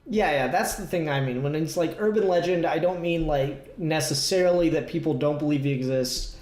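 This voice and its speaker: A man co-hosting a casual comic book discussion, talking in a rambling, animated fan's manner. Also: a little high, brisk, roomy sound. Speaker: podcast co-host.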